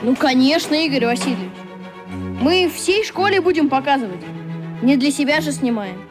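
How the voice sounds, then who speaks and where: A woman plays an eager little schoolboy in a radio drama. The voice is very high and swings excitedly up and down, earnest and animated, with soft music behind. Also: normal speed. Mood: cheerful earnest. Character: eager, excitable young schoolboy in a children's club. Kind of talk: radio play acting.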